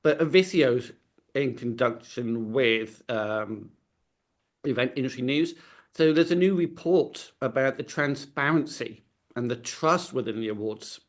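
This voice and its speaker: Male host at a middle pitch, steady and measured, guiding a panel chat. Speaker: podcast host. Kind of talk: hosting a news podcast.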